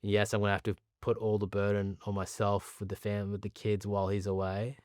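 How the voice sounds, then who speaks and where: A man in his late thirties with an Australian accent speaks candidly in a sit-down interview. His voice sits fairly low, and he comes across as earnest and chatty, often laughing at himself. The sound is dry and close, with no room in it. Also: monotone, fairly quick, darkish tone, no room sound. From Pakistan, raised in Sydney. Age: 30s. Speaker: cricketer, interview guest.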